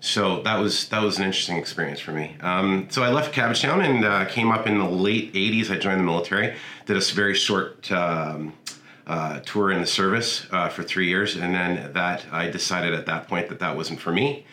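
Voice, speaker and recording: A man's voice: sits low, moderate pace, sharp tone, roomy sound. A man in his forties, a podcast guest telling his story in a friendly, rambling way with many restarts and fillers. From Canada. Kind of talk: casual interview chat.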